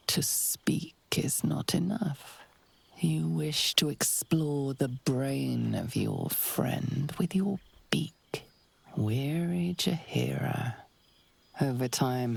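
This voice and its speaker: The eerie inner voice of a monster in a video game. It is extremely low and crackles with heavy fry, whispery and breathy, slowly spelling out dark urges with ominous detachment. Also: female voice, dry recording, exaggerated voice. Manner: slow, hushed, ominous. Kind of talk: second-person game narration.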